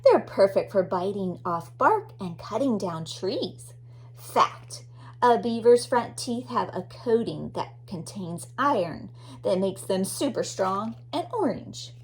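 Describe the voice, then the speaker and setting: A woman's voice: medium pitch, big pitch swings, slow pace, slight background noise, poor audio. A woman teacher leading a read-aloud lesson for young children, explaining things and repeating key words for emphasis. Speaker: elementary teacher reading aloud.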